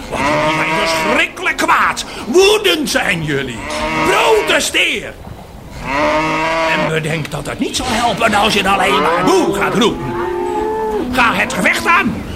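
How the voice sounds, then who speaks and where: An angry cow, very high voice, wildly swooping pitch, rousing speechmaker, radio play, music behind. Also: male voice, heavily acted. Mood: fierce, commanding. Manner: declamatory, rousing, rhetorical questions and repeated refrains. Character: furious cow rallying the herd against city builders. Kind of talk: theatrical radio play monologue.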